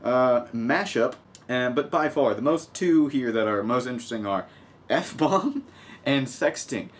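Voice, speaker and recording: A man's voice: medium pitch, expressive, unhurried. A young man from the United States hosting a casual news vlog at home, reading stories and adding sarcastic, joking asides. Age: young adult. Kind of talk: casual humorous news commentary. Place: small home room with desk, indoors.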